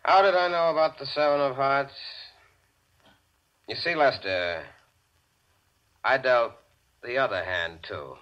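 An actor playing a smug, menacing card dealer in 1940s radio drama style. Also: male voice, mid-range voice, slow pace, long pauses, a little hiss or hum, close to an everyday voice. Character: menacing male card dealer, smugly confronting a victim. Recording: dull old radio recording.

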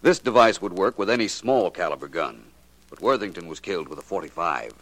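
A shrewd radio detective of the 1940s. His low voice swings expressively in pitch, while his manner stays polite, measured and sure of itself. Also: lively intonation, a bit bright, no room sound. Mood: calm certainty. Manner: measured, assured, formally polite. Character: shrewd, composed male detective, politely probing suspects.

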